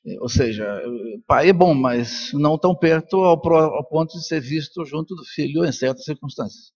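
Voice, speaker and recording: Older Colombian man, higher-pitched, lecturing. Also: moderate pace, husky, lo-fi sound. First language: Spanish. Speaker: neuroscientist and professor speaking at a congress. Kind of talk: informal conference lecture with personal anecdotes.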